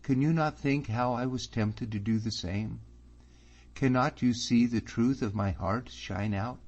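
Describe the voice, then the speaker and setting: A man's voice: mid-range voice, measured pace, darkish tone, voice pushed up, lightly acted. A man reading an earnest, anxious young man. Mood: pleading. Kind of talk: audiobook character voice. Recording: slightly muffled recording.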